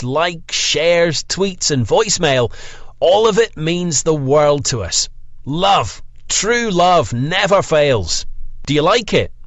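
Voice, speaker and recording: A man with a high voice delivers a theatrical, grandiose announcement. He takes it slowly and deliberately, in a roomy recording. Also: a bit bright. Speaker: announcer of show outro. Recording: roomy, slightly dull lo-fi recording.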